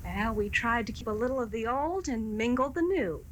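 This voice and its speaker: A woman's voice: a little high, lively intonation, normal speed, a little hiss or hum, lightly acted. A woman playing a young, conscientious librarian in a 1970s amateur radio drama. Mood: brisk.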